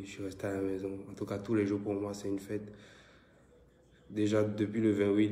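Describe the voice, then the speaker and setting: A man with a West African French accent and a head cold hosts a casual live stream and calls out greetings to viewers. His voice is low, dark and mellow, with a flat delivery. The echoey room makes it sound roomy. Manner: loud, repetitive greetings and shout-outs to viewers. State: congested with a cold.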